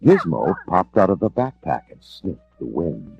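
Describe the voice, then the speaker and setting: A man narrating a children's storybook dramatically, with theatrical, measured delivery. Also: low-pitched, big pitch swings, creaky, husky, muffled recording, soft background music.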